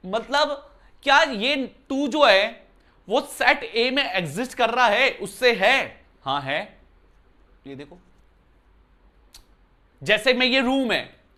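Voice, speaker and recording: A man teaching maths in a bright, clear voice, talking to his students casually. He explains step by step and keeps asking them whether they follow. He is from Uttarakhand and records indoors. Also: extremely high pitch, animated, slow, long pauses. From Uttarakhand, India. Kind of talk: classroom lesson explanation. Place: indoor room.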